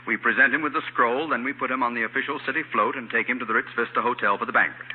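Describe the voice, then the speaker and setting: An actor playing a courteous, long-suffering middle-aged American mayor in a radio comedy, speaking formally and dryly. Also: male voice, mid-range voice, steady tone, very fast, slightly rough, close to an everyday voice. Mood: businesslike. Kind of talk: 1940s radio comedy acting. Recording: tinny old radio recording, faint noise.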